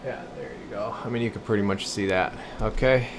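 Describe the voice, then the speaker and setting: A man's voice: fairly low pitch, animated, fairly quick, noisy background. A man giving a casual, folksy hands-on demonstration as a repair technician, sometimes muttering to himself as he works. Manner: informal, folksy, muttering to himself while working. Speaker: repair technician presenting a bench test video. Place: indoor radio repair workshop.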